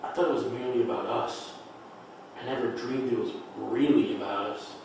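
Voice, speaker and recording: A man playing a blunt, hurt friend in a table read of a play, reproachful and deliberate. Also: mid-range voice, fairly even intonation, natural voice. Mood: cold reproach. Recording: echoey room, far mic, clear noise.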